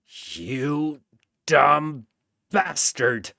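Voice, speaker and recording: A man voicing a gruff, defiant, feral brawler who taunts and growls in an animated story. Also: medium pitch, drawn-out words, no echo, clean studio sound, exaggerated voice. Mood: growling defiance.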